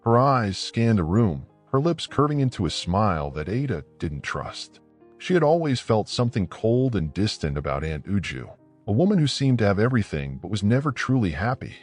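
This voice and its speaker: A man's voice: lowish voice, big pitch swings, normal speed, darkish tone, soft background music. A man narrating a scripted folktale as a dramatic storyteller.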